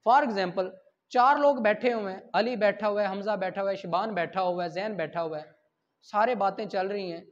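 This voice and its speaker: High male voice, Pakistani accent, didactic teacher, question-and-answer explaining, English terms mixed in, dull lo-fi audio. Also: moderate pace. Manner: code-switches into English terms. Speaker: law teacher. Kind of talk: explanatory lecture with everyday examples.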